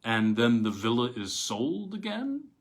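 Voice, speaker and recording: A man's voice: mid-range voice, wildly swooping pitch, measured pace, heavily acted. A man reading a story voices a curious, wry young American villa buyer who questions and argues. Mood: questioning.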